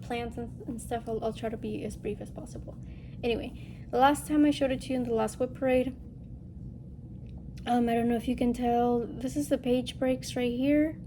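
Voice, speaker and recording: Female craft vlogger, highish nasal voice, congested from illness, informal rambling chat, clear background noise. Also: fairly even intonation, moderate pace, mellow. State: getting over a respiratory illness. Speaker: host of a cross-stitch video channel. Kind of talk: casual chat to viewers, craft show-and-tell.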